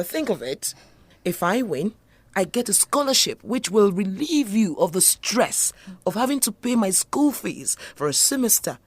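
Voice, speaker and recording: A woman's voice: mid-range voice, no room sound. A young woman playing an emotional, incredulous Nigerian student daughter in an audio drama. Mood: coaxing.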